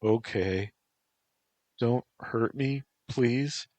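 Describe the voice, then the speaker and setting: A man's voice: sits low, slow pace, a bit bright, slightly rough, no room sound, voice pushed up, exaggerated voice. A man voicing a frightened, whiny young man who pleads and whimpers. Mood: pleading.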